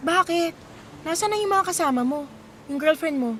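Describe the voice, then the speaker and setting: An actress playing a caring, supportive young friend in a Filipino radio drama, expressive and sympathetic, with a Filipino accent. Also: female voice, extremely high pitch, normal speed, a little hiss or hum. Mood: concerned. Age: young adult. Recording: music playing behind the voice.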